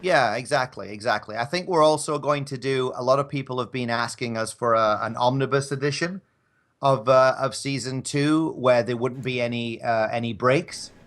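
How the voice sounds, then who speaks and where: A man's voice: medium pitch, normal speed, fairly bright tone. A man chatting casually as a guest on a live show, rambling and modest about his own work. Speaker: guest, audio drama maker.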